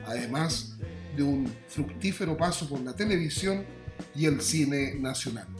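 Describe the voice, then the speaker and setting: A man with a Chilean accent hosting a radio newsmagazine, reading a prepared script. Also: fairly high pitch. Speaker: radio host. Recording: roomy voice over music. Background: music with plucked guitar.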